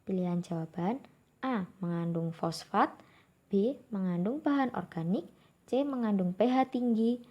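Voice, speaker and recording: A woman's voice: medium pitch, unhurried, dull audio. A young woman from Java with a Javanese accent, working through exam questions in a friendly, informal way. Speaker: exam tutor. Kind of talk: explaining exam questions to viewers.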